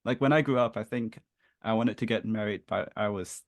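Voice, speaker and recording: A man in his thirties from Malaysia, a podcast guest who talks in a relaxed, reflective way with many fillers. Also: lowish voice, fairly quick, no room sound. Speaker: interview guest. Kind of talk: casual interview chat.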